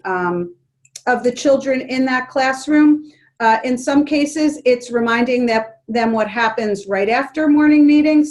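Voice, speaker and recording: A woman leading an online workshop for educators, speaking calmly and gently with frequent ums. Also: on the high side. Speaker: presenter leading an educators' forum. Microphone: video call.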